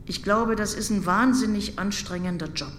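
Slightly high-pitched man talking reflectively. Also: moderate pace, fairly bright tone, roomy sound, big-room sound, a little hiss or hum. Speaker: participant in an audio theatre piece.

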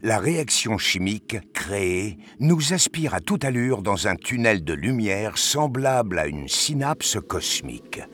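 A man narrating a scripted science-fiction audio story in a deliberate, dramatic storytelling manner. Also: fairly low pitch, big pitch swings, fairly bright tone.

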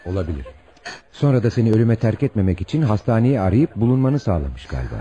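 A man's voice: lowish voice, sing-song, quick pace, warm tone, dull audio. An actor playing a protective, kindly family man, firm and reassuring, in a radio drama.

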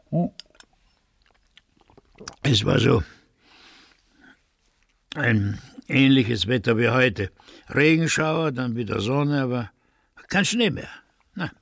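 Creaky Austrian village blacksmith. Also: male voice, medium pitch, no echo, studio quality. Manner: hesitant, rambling, colloquial. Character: village blacksmith recounting grim wartime memories. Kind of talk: radio play storytelling.

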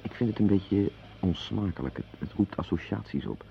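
An actor playing a nervous, reclusive henpecked husband in a radio play, with a hesitant, apologetic, wry manner. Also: male voice, sits low, expressive, moderate pace, vocal fry, slight background noise. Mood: wry, resigned. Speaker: radio play narrator and lead. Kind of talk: radio drama monologue and dialogue. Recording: dull lo-fi radio play, music behind.

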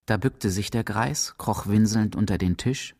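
A man's voice: lowish voice, unhurried, vocal fry, darkish tone, no room sound, clean studio sound. A man narrating a radio drama in a literary, dramatic style.

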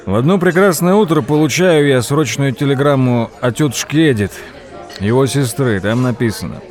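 An actor playing a wry man who tells tall tales in an audio play, theatrical and deliberate, with dramatic pauses. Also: medium pitch, darkish tone, lightly acted. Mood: relaxed, convivial. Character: wry male raconteur telling tall tales over drinks. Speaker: narrator. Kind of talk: dramatized first-person storytelling in an audio play. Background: background music.

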